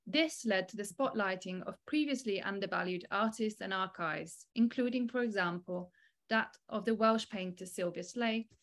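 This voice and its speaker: A woman in her thirties reading a formal academic lecture in a measured, articulate way over a video call. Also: mid-range voice. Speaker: academic keynote speaker. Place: small indoor room, online video call. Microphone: video call microphone.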